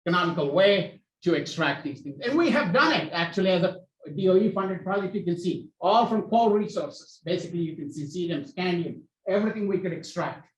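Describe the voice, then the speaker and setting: A man presenting at an academic panel, with a voice a touch higher than most. He is recorded from across an echoey hall, and he restarts and repeats his phrases as he goes. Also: animated. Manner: speaks in halting, repeated phrases. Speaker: panel moderator and researcher. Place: university hall. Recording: echoey hall, distant mic.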